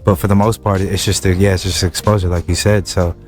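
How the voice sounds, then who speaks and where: A young man from Wilmington, California, a rapper chatting as a podcast guest, talking in halting bursts full of repeated words and restarts. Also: sits low, level tone, soft background music. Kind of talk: casual interview chat.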